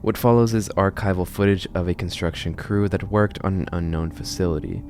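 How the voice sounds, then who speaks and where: A man's voice: deep voice, a little fast. A man hosting a video essay on a horror series, explaining it in a conversational way. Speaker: video host, narrator.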